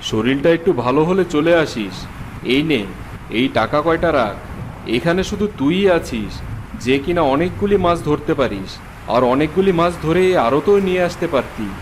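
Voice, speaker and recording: A man's voice: fairly high pitch, lively intonation, normal speed, slight background noise, lightly acted, low-quality recording. A man playing a kindly but authoritative fish-market boss in a cartoon folk tale. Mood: benevolent.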